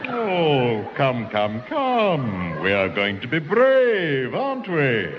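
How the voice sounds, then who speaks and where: A man playing a brisk, coaxing dentist in a 1960s radio comedy. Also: medium pitch, huge pitch swings, music in the background. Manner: brisk, coaxing bedside manner. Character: fussy brisk dentist, middle-aged man. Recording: dull old radio recording with reverb.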